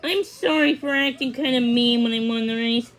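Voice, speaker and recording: Woman acting young pup, eager, boastful, exclamatory, high voice, children's storybook. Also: moderate pace, fairly bright tone, lightly acted, poor audio. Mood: apologetic. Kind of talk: children's storybook read-aloud.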